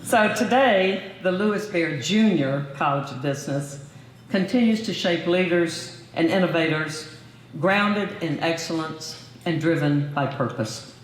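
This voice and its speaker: An older woman, a former professor, giving a hall of fame acceptance speech with gentle humor and a formal finish. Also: fairly low pitch, lively intonation, measured pace, slight background noise. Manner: warm, lightly humorous, formal. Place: large banquet hall. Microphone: podium microphone. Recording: roomy hall over a PA.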